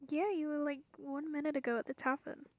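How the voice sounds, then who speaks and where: A woman's voice: high-pitched, steady tone, brisk. A woman giving casual, wry and playful commentary as she plays through a story game. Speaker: game streamer reacting to the story.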